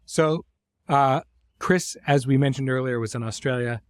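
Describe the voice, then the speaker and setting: A man hosting a conversational interview, speaking thoughtfully in phrases broken by pauses and restarts. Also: medium pitch, no echo. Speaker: host, series creator.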